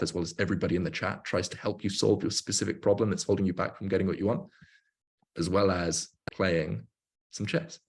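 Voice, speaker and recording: Low-pitched man in his twenties, casual livestreamer. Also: gravelly, husky. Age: 20s. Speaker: livestream host, online business coach. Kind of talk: casual livestream talk and coaching.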